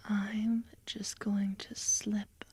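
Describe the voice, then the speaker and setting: Slow, whispering woman as a creepy hypnotist therapist. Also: mid-range voice, level tone, darkish tone, full character voice. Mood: soothing, guiding. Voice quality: breathy, whispered. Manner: slow, deliberate, soothing yet ominous. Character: female therapist hypnotist, calm, creepy, quietly controlling. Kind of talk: whispered ASMR hypnosis roleplay.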